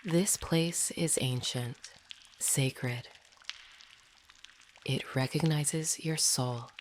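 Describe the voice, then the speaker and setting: A woman guides a meditation in a soothing, hushed, often near-whispered delivery. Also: sits low, extremely slow, slight background noise. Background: dripping, pouring water sounds.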